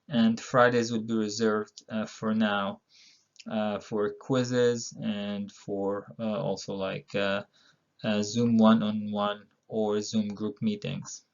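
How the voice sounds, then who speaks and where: Middle-aged male professor from Palestine, relaxed lecture delivery with lots of fillers, some vocal fry, slightly muffled audio. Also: fairly low pitch. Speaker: university instructor. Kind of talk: recorded online course introduction.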